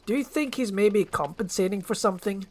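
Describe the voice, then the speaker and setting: A woman's voice: medium pitch, fairly quick, voice pushed up, close to an everyday voice. A woman voicing a sardonic male ogre with a dry, exasperated manner in a storybook roleplay.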